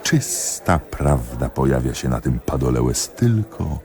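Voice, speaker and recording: A man acting in a radio play as a cynical, jealous middle-aged examining magistrate who speaks emphatically and haltingly. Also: rumbling low, big pitch swings, warm tone, heavily acted. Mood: confiding. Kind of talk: theatrical radio drama dialogue.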